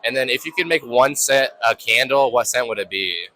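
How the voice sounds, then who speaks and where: A man's voice: medium pitch, lively intonation, fairly quick, sharp tone, a little hiss or hum, low-quality recording. A teenage boy reporter asking people silly questions in a casual, cheerful way. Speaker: student reporter. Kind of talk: man-on-the-street interviews.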